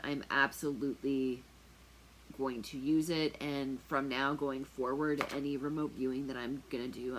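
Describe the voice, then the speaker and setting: A woman talking casually to camera as a tarot reader, chatty and rambling with lots of fillers, with a loud, projecting voice. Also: extremely low pitch, background noise. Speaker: tarot reader and remote viewer. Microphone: noise-canceling earphones on.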